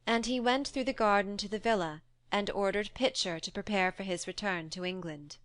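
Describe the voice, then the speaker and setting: A woman reading a classic novel aloud, her voice smooth and resonant. She tells the story in a measured, expressive way. The sound is close and dry, on a studio mic. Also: mid-range voice, no echo. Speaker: narrator. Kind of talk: audiobook dramatic reading.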